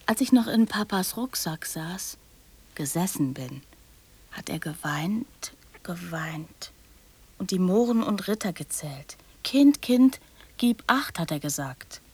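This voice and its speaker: Breathy, slow-spoken naive little girl. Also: fairly low pitch, lively intonation, heavily acted. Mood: wistful. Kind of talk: poetic radio drama acting.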